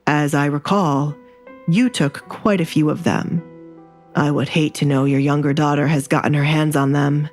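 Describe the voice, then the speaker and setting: A woman voicing a sardonic, menacing young duke in an audio drama, speaking formally and with measured, veiled threat. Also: low voice, steady tone, a little mellow, faint music behind, voice pushed down, natural voice. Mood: cool, mocking.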